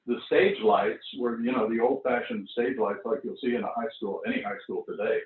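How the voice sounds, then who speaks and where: A man's voice: medium pitch, brisk. A man in his seventies, an actor casually reminiscing in an anecdotal, chuckling storytelling way. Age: 70s. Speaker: actor recalling a stage show. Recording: roomy, distant, tinny phone-like call audio.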